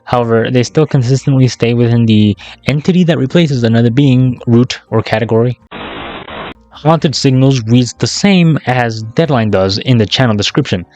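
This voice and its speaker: A man's voice: medium pitch, normal speed, darkish tone, lo-fi sound. A man narrating a casual scripted YouTube commentary in a sarcastic, slangy, profane way. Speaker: YouTube video essayist.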